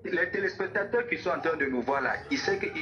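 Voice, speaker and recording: A man's voice: on the high side, animated, quick pace, a crowd in the background. A man with a West African French accent arguing insistently on a phone call, repeating his points while he is cut off. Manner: insistent, repeats himself, often interrupted. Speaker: caller in a religious debate. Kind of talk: heated phone debate.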